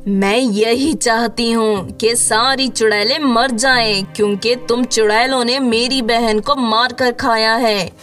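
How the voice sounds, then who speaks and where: Woman playing a stern, vengeful, slow-talking sorceress. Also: a little high.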